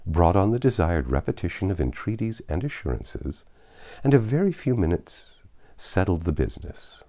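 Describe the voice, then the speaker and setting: A man narrating a classic novel aloud in an expressive storytelling style. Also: low-pitched, normal speed. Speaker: audiobook narrator. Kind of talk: expressive scripted literary narration.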